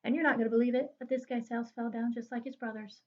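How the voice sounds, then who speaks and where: An aggrieved, folksy storybook wolf, acted out by a woman, pitched rather high. He excuses himself in a chatty, mock-innocent tone, with expressive picture-book storytelling. The recording is a little dull and lo-fi. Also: fast talker, natural voice. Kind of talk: expressive children's picture-book read-aloud.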